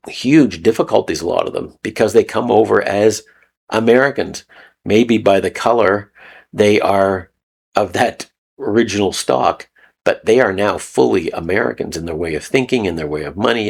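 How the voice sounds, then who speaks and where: A man's voice: deep voice, creaky, a little mellow, breathy edge. A man from Canada giving a rambling, casual spoken teaching talk, repeating phrases and chuckling often. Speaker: video host. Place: lives in Mexico.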